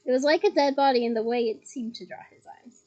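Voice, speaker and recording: A slightly hoarse woman reading a novel aloud at home, breaking off for chatty, giggly asides. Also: sits high, fast talker, warm tone. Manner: chatty, giggly asides between read passages. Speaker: reader of a novel. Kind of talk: casual read-aloud with asides. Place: at home on her bed.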